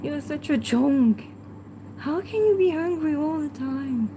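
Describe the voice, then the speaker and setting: A woman with a French accent, a native French speaker, hosting a casual livestream and answering viewers in a hesitant way full of fillers, sometimes talking softly to her pet. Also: high voice, expressive, a little slow, slight background noise.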